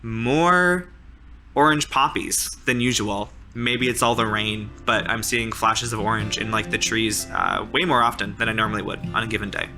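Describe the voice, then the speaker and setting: A man's voice: on the low side, fairly even intonation, normal speed, over music. A man casually improvising narration as a player in a tabletop roleplaying game.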